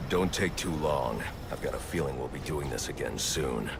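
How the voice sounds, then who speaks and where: Grim game vigilante, super low gravelly growl, terse and stern, rumbly noise behind. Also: male voice, expressive, moderate pace, exaggerated voice. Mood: curt, guarded.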